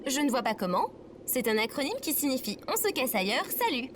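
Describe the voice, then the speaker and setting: A woman's voice: high-pitched, sing-song, a little fast, noisy background. A woman playing a sarcastic, chirpy female AI companion, formal, precise and playfully bossy, in an acted audio comedy. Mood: matter-of-fact.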